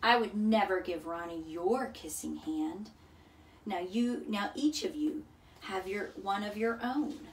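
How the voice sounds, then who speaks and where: A woman voicing a gentle, motherly raccoon who soothes and reassures in a storybook reading. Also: mid-range voice, takes it slowly, roomy sound, recorded from a distance, slight background noise. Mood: tender, reassuring.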